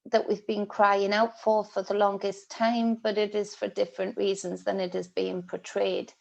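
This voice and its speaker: A woman's voice: medium pitch, vocal fry, darkish tone, lo-fi sound. A woman tarot reader with a stuffy, sniffly nose, talking in a rambling way full of ums and restarts as she gives a casual spiritual reading. She has a British accent. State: congested, sniffly. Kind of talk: casual spiritual vlog monologue.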